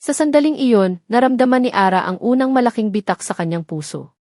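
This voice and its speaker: A woman's voice: fairly high pitch, expressive, fairly quick, darkish tone, no room sound, studio quality. A woman narrating a scripted romantic drama story in a solemn, dramatic manner.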